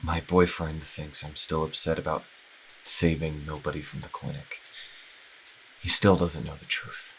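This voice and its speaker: A man playing a troubled, conflicted young priest in an audio drama, halting and brooding. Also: rumbling low, level tone, creaky, sharp tone, full character voice, low-quality recording. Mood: guarded. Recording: faint background noise.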